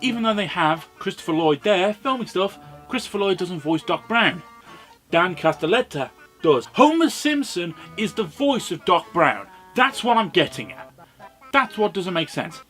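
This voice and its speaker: A man's voice: high-pitched, very animated, moderate pace, fairly bright tone, faint music behind. A British man giving an informal, opinionated video review with sardonic, sweary commentary. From Britain. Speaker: host and reviewer.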